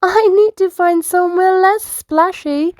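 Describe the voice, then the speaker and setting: A woman's voice: extremely high pitch, measured pace, no echo, clean studio sound, pitched above the performer's own voice. Woman doing an eager, piping little girl sloth. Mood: giggly, delighted. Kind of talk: children's storybook read-aloud.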